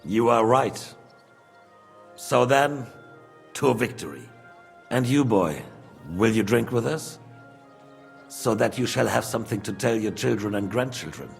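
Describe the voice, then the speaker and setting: An actor playing a proud, stately, commanding medieval lord with a gravelly voice in a game cutscene. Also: male voice, medium pitch, animated, measured pace, pauses often, soft background music.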